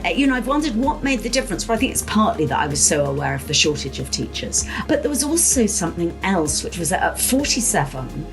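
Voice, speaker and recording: A woman in her late fifties or older, giving a reflective personal account as an interviewee. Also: mid-range voice. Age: late 50s or older. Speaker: interviewee, career-changer teacher and former journalist.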